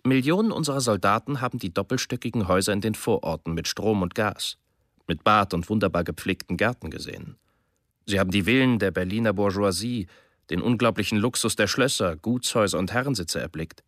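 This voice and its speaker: A man reads a documentary voice-over in a steady, earnest way. His voice sits on the low side. The studio sound is dry and close. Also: a little fast, dry recording. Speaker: voice-over reader. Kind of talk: German radio documentary reading.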